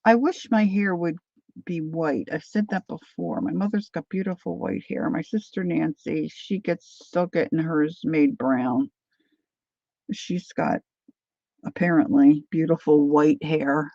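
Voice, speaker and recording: A woman in her sixties from Philadelphia talks slowly and rambles through a craft livestream, reading viewer comments aloud. Her voice has a gravelly rasp and carries her Philadelphia accent. The sound is dry and dull, like lo-fi livestream audio. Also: low-pitched, mellow, no room sound. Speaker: craft channel host. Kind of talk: casual livestream craft chat.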